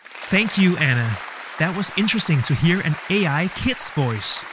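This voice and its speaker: A man's voice: fairly high pitch, sing-song, moderate pace, crowd noise, noisy background. A man hosting a mock talent show in an upbeat, showman-like way. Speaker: host.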